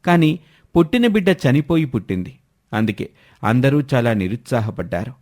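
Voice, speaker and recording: A man's voice: medium pitch, sing-song, normal speed, darkish tone. A man reading scripted narration for a story as the narrator.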